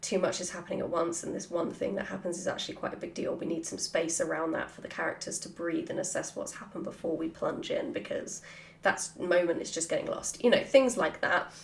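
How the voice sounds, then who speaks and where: A woman chatting casually and playfully as she answers questions from her followers, with an animated manner. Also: fairly low pitch, fairly quick, gravelly. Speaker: writer hosting a Q&A. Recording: roomy, echoey sound.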